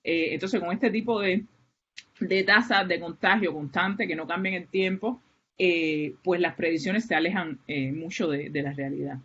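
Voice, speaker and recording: A woman's voice: fairly low pitch, fairly even intonation, normal speed, a bit bright, a little hiss or hum. A woman from Cuba with a Cuban Spanish accent, giving an academic seminar with many fillers and restarts. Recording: muffled, lo-fi online lecture audio.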